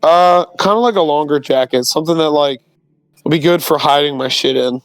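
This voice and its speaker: A man sits at a tabletop game and talks in a wry, offhand, joking way. His voice is a little on the high side and sounds dry and close. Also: fairly quick, clear, resonant tone, dry recording, faint music behind. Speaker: player. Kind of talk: casual tabletop game chat.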